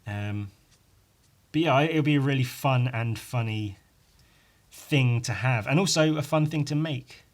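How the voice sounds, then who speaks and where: A man with a croaky voice rambling casually on his own as a podcast host, informal and sweary, poking fun at himself and tacking questions onto his remarks. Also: medium pitch, a little slow. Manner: sweary, informal, self-deprecating, with tag questions. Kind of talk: casual rambling solo chat.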